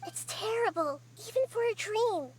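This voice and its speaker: A woman voicing a wise, kind small girl goddess in a video game, explaining gently. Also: extremely high pitch, expressive, moderate pace. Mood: calm.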